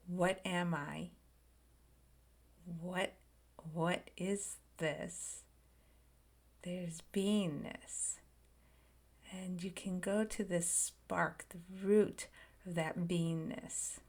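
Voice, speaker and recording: A woman leading a guided meditation, speaking softly in short phrases with long pauses and frequent whispered words. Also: fairly low pitch. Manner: slow, soft and soothing, drifting into whispers.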